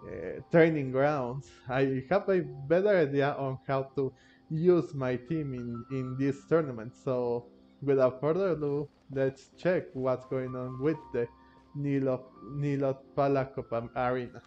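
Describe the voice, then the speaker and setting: Male gaming host: casual, hesitant delivery, average pitch, muffled lo-fi mic. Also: mellow. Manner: halting, searching for words. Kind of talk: casual game commentary. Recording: dull, lo-fi microphone sound. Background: game music.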